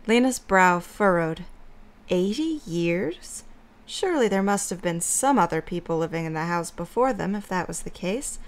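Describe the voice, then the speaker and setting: A woman's voice: lowish voice, lively intonation, normal speed. A woman reading scripted story narration as the narrator of an audio drama.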